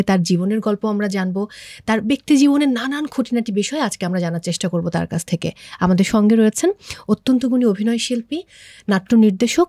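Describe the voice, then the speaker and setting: A woman television host with a Bangladeshi accent speaks at a middle pitch in a conversational interview style. Her voice is recorded dry, with hardly any room sound, and she drops English words into her talk. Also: warm tone, dry recording. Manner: mixes English words into Bengali.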